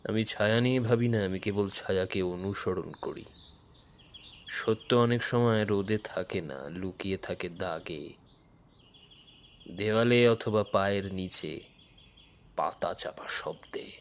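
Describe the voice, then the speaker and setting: A man playing a calm, grave, enigmatic investigator who speaks in measured riddles in an audio drama. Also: lowish voice, a little hiss or hum. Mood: calm, mysterious. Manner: cryptic, measured delivery trailing off softly. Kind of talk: dramatic audio story acting.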